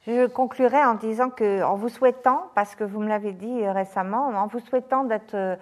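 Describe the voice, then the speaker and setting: A woman hosting a literary interview, speaking in a measured, attentive way as she prompts her guest. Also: fairly high pitch, a little mellow. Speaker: interviewer, host.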